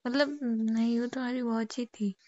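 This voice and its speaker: A woman's voice: on the high side, steady tone, moderate pace, a little mellow, dry recording. A young woman in casual, insistent and questioning talk with her boyfriend on a phone call. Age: young adult. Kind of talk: casual phone chat between a couple. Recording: dull phone call recording with noticeable noise.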